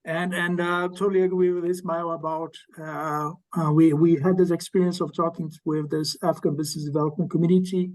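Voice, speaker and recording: A man, one of the journal's editors, moderating an online workshop, with quick thanks and hand-offs to each speaker. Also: fairly high pitch, flat delivery, a little mellow, low-quality recording.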